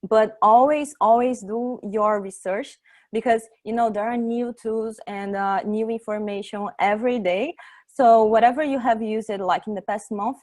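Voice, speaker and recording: Brazilian woman, 30s, portuguese accent, chatty tech meetup talk, lots of ums and "right?", mid-pitched voice. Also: a little mellow. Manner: chatty, frequent "um" and "right?" tags. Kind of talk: informal tech presentation.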